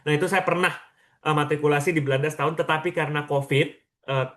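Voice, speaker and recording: A man's voice: on the high side, steady tone, quick pace, a bit bright. A man, presenting informally to an online audience and often checking in with listeners. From Lombok, Indonesia. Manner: conversational, checks in with listeners using questions and tags. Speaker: webinar speaker, education practitioner.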